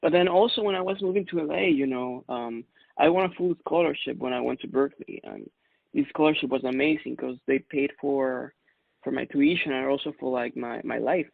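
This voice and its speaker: A man in his thirties from Ecuador, a music producer answering interview questions in a relaxed, chatty way with lots of fillers. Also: mid-range voice, fairly quick, gravelly, no room sound. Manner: relaxed, chatty, laughs often, many fillers. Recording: dry tinny phone-like sound.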